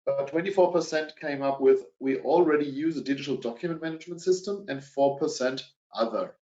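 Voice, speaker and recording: A middle-aged man with a German accent, presenting a business webinar and pausing often on filler sounds. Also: a little high, dark tone. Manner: hesitant, many fillers. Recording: dull, roomy webinar audio.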